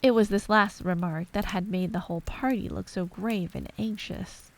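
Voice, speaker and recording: A woman's voice: medium pitch, fairly even intonation, moderate pace, gravelly. A woman reading scripted bedtime storybook narration in an ASMR style.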